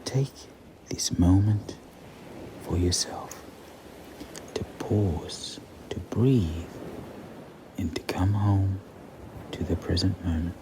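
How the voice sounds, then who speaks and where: A man guiding a meditation in a soothing, breathy near-whisper with long pauses. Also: low-pitched. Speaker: meditation guide. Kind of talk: slow guided meditation. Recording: clearly audible background ambient noise.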